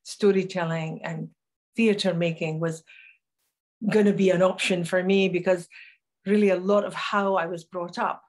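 An older woman with a soft Northeast Scottish lilt talks to a webcam from a room at home. Her voice sits fairly low, and she speaks in a reflective storytelling way, with unhurried pauses. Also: fairly even intonation. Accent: Northeast Scottish, Doric. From northeast Scotland. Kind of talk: personal talk to camera. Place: home room with curtains, indoors.

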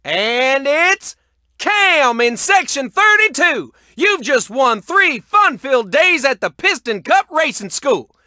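Excitable, high-pitched cartoon race announcer. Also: male voice, slow pace, no room sound, studio quality, exaggerated voice. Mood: upbeat announcing. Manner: excitable, folksy, showy announcer delivery. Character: excitable folksy male car-race announcer in a cartoon world. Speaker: race commentator. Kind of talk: excited sports commentary for a racing video game.

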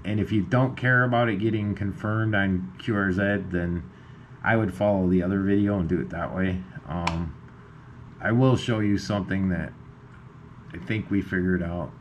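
A man's voice: low-pitched, fairly even intonation, normal speed, highs cut off. A man giving a casual screen tutorial, informal and a bit hesitant as he thinks aloud. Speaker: amateur radio video host. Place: indoors at a computer. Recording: clearly noisy room recording.

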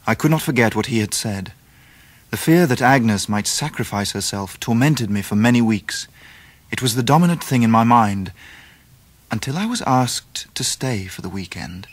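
A man playing an earnest, sensitive young gentleman who tells his own story in a radio drama, polite and wry. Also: fairly low pitch, close to an everyday voice. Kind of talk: dramatised first-person narration, 1990s radio drama.

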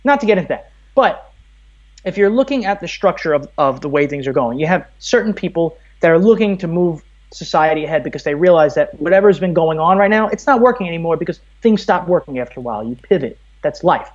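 A man's voice: fairly high pitch, lively intonation, fast, highs cut off. A man in his thirties from Brooklyn with an American accent, speaking earnestly and emphatically as a podcast guest in a rambling, opinionated way. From Brooklyn, New York, USA. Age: 30s. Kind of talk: conversational interview, opinionated.

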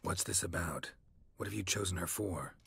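A man playing a gruff, stoic, grizzled monster hunter in a fantasy game, terse and deadpan. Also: low-pitched, normal speed, heavily acted. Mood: firm.

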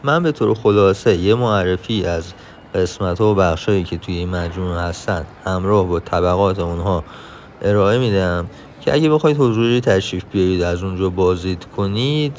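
Low-voiced Iranian man narrating, noisy recording. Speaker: vlogger and tour guide. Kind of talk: informational travel vlog narration.

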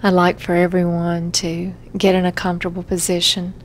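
A woman therapist leading a guided self-hypnosis relaxation session, giving gentle, measured instructions with frequent pauses. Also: on the low side, completely flat delivery, slight background noise.

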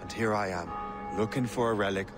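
An actor playing a young, roguish assassin who is wry and determined, in a video game cutscene. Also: male voice, fairly low pitch, brisk, lightly acted. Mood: assured. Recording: music behind the voice.

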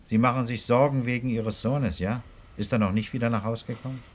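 An actor in a radio crime drama playing a calm, persistent police commissioner who questions tersely with thoughtful grunts. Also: male voice, mid-range voice, a little fast, slight background noise, natural voice. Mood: probing.

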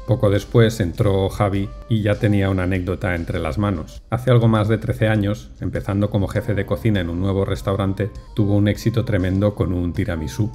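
Mellow-voiced male voice-over narrator. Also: lowish voice. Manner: measured, unhurried, descriptive. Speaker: food vlogger narrator. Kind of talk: calm scripted voice-over narration. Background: soft music.

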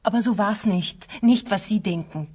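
Guarded, husky, mellow-voiced anxious wife, played by an actress. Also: female voice, mid-range voice, moderate pace, close to an everyday voice. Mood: defensive, alarmed. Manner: guarded, evasive, short answers. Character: defensive, anxious married woman hiding an affair. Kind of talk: German crime radio play acting.

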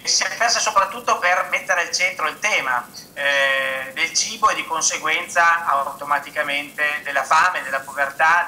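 A man in his thirties presents a book remotely over a video call. He talks haltingly, restarting phrases and filling gaps with "eh". His voice is sharp and bright, pitched a little high, with a faint noise under the call. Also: poor audio. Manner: hesitant, many restarts and fillers. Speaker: guest author.

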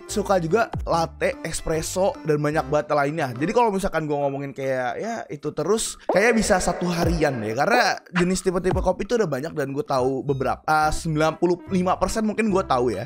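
High-voiced young man chatting while gaming. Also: animated, a little fast, few pauses. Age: 20s. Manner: chatty, slangy, laughs a lot while playing. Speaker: gaming YouTuber. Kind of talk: casual gaming Q&A chat. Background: game music.

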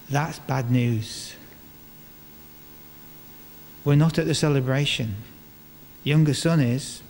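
Older man preaching slowly in an echoing church. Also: medium pitch, a little mellow. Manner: measured phrasing with deliberate pauses and rhetorical questions. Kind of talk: sermon. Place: large stone church, indoors, before a congregation. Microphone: microphone on a stand. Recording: reverberant church hall, clear background noise.

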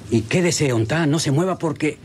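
A man's voice: fairly high pitch, normal speed, a little hiss or hum, close to an everyday voice. An actor playing a frightened, superstitious ranch man with a rural Mexican accent, stammering and repeating himself in a radio drama. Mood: panicked. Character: frightened, confused, superstitious ranch man, self-styled macho. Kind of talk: radionovela acting.

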